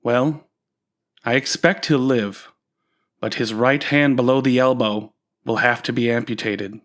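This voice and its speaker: A man's voice: mid-range voice, fairly even intonation, measured pace, fairly bright tone, lightly acted. A man playing a weary, matter-of-fact surgeon in a radio play, speaking in a grave, clinical manner.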